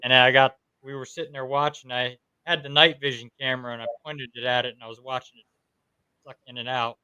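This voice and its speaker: Bright, crisp-voiced man from New York. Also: mid-range voice, little pitch movement, brisk. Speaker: podcast host. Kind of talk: casual interview chat.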